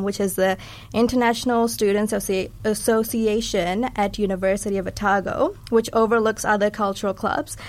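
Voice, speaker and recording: A young woman from New Zealand with Sri Lankan roots, hosting a casual interview podcast in an upbeat, informal way. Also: mid-range voice. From Sri Lanka, raised in New Zealand from age eight. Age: young adult. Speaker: podcast host.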